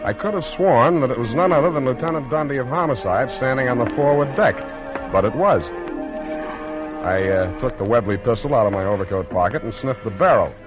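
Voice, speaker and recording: An actor playing a wisecracking hardboiled private detective, wry and deadpan, in 1940s radio style. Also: male voice, mid-range voice, a little fast, natural voice. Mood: wry, breezy. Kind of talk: 1940s radio acting with narration. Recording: muffled 1940s radio recording, noisy music.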